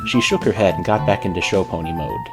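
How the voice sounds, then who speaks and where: A man's voice: low-pitched, lively intonation, fast, over loud music. A man reading a story aloud as an amateur narrator. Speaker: amateur fan fiction reader. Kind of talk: scripted storybook narration with character dialogue.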